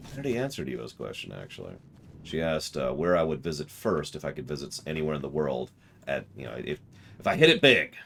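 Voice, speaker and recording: A man's voice: extremely low pitch, big pitch swings, fast, gravelly, a bit bright, a little hiss or hum. A man streaming a game, chatting casually with viewers and rambling in a self-deprecating way. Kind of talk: casual live-stream chat while gaming.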